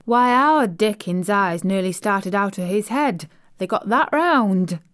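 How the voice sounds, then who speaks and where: A woman's voice: medium pitch, unhurried, close to an everyday voice. A woman voicing a cheerful, chatty Yorkshire servant girl in broad dialect, for an audiobook. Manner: broad dialect, lively and excited.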